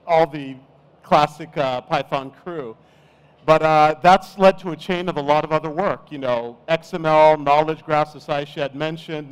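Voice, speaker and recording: A middle-aged man from Nigeria giving a relaxed, chatty conference talk on stage. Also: on the high side, clean tone, low-quality recording. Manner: relaxed, chatty, self-deprecating. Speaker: conference speaker.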